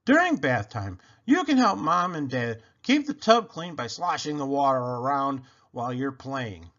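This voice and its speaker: Animated man reading a children's book aloud. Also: medium pitch, a little slow. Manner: animated, playful emphasis. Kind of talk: expressive read-aloud of a children's picture book. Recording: dull, lo-fi sound.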